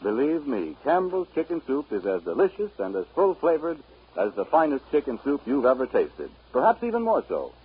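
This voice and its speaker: A muffled, scratchy 1930s broadcast features an American man announcing. His voice is a little high with a rough grain, swinging widely in pitch as he speaks with polished, emphatic salesmanship. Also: wildly swooping pitch, fairly quick. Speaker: radio announcer.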